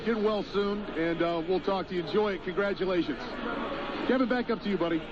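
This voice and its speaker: Fast high-pitched male sideline reporter. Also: a crowd in the background. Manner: brisk, polished, direct questions. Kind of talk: live postgame interview. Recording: dull broadcast with field noise. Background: stadium noise.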